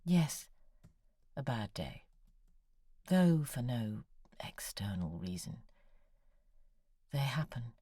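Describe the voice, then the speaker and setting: A woman's voice: a bass voice, big pitch swings, slow, pauses often, warm tone, slightly rough, no echo, clean studio sound, exaggerated voice. An actress playing an anxious, needy, brooding woman novelist in an audio drama, articulate and confiding as she voices her letters. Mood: melancholy, confiding.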